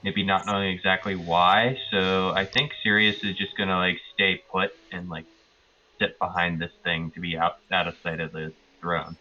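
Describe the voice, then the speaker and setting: A man's voice: low voice, flat delivery, normal speed, sharp tone, highs cut off. A man playing a tabletop roleplaying game, casually and tentatively describing what his character does. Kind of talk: casual improvised game narration. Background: music.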